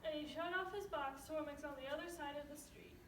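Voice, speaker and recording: A woman's voice: high-pitched, fairly even intonation, quick pace, fairly bright tone. A young teenage girl narrating a scripted story as one of a readers theater group. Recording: echoey room, distant mic, faint noise.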